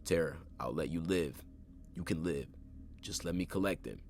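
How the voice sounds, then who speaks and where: A gravelly-voiced man doing a murderous male stalker, wheedling and unhinged, pleading in a coaxing tone that curdles into threats. The voice is low and rough, with clear noise behind it. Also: normal speed, a little mellow. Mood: wheedling menace. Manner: coaxing then threatening, repetitive.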